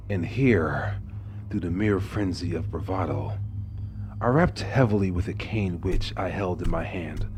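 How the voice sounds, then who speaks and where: An actor playing a deranged, smug murderer who confesses his crime in formal, theatrical horror narration. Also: low-pitched. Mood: falsely jovial bravado. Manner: theatrical, formal, brooding, drops into hushed whispers. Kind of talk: dramatic horror narration of a classic gothic tale.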